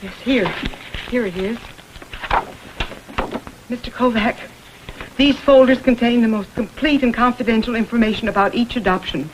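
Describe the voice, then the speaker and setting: An actress playing a guarded, anxious institution director in an old crime drama, speaking formally and earnestly. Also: female voice, fairly high pitch, normal speed, long pauses, natural voice. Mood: uneasy. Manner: formal, earnest, careful wording. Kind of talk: vintage American television crime drama acting. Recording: faintly noisy vintage film soundtrack.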